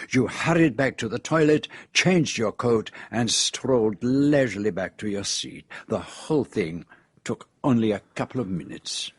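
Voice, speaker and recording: An actor playing a shrewd, courteous foreign detective in a radio drama, with a Belgian French accent and a precise, measured, self-assured way of explaining. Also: male voice, mid-range voice, breathy edge, no room sound, studio quality. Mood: accusing, methodical.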